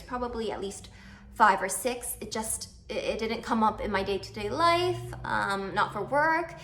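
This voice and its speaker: A woman's voice: medium pitch, fairly bright tone. Chatty young mother vlogging. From Canada. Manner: chatty, self-deprecating, many fillers. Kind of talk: casual chat to camera.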